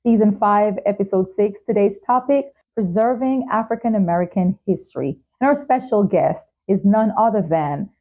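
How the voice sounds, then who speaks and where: A woman hosting a talk show, presenting in a measured, carefully enunciated way. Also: medium pitch, a little mellow. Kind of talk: scripted show introduction and sponsor reads, interviewing.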